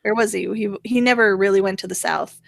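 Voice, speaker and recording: A woman hosting a casual talk show, chatting in a halting, wandering way. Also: mid-range voice, steady tone, gravelly, no room sound. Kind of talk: casual conversational commentary.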